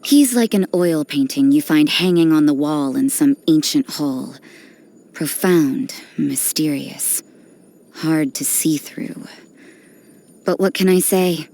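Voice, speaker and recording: An actress voicing an aloof, cynical young nun in a video game, speaking in a cool, dry, detached and unhurried manner. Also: female voice, low-pitched, dark tone, full character voice. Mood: wry, knowing. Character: aloof, cynical, worldly nun who does dirty work. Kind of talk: video game character voice lines. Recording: background music under the voice.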